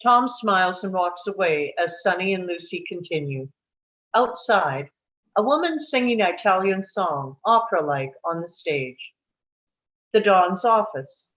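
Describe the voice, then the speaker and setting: A woman's voice: on the low side, animated, a little slow, dull audio. A woman reading stage directions as scripted narration at a table read.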